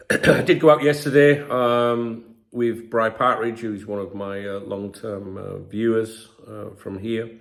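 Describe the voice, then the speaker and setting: A middle-aged English man talks casually to his viewers, his low voice gravelly and full of fry. He sounds bunged up with a sore throat and keeps clearing his throat between filler-heavy sentences. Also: fairly even intonation, slow. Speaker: football channel host. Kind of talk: casual commentary to camera.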